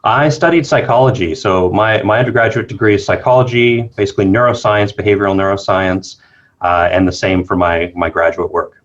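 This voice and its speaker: A man's voice: fairly low pitch, fairly even intonation, a little fast, lo-fi sound. A man from Canada, a scientist being interviewed remotely, answering thoughtfully with many fillers and restarts. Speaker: guest, circadian biologist. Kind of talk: casual interview talk.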